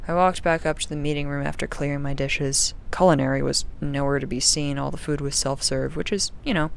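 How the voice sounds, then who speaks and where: Wry, gravelly-edged young spaceship crew member voiced by an actor. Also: medium pitch, fairly even intonation, fairly quick, slight background noise, natural voice. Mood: uneasy, subdued. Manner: wry, sarcastic, informal, rambling. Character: sardonic, uneasy young crew member keeping an audio diary. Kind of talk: audio drama diary monologue, casual and conversational.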